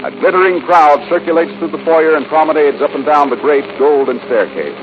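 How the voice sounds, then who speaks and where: A man's voice: fairly high pitch, brisk. An American man working as a 1940s radio announcer, reading scripted copy with polished, dramatic delivery. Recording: tinny 1940s radio recording, faint noise.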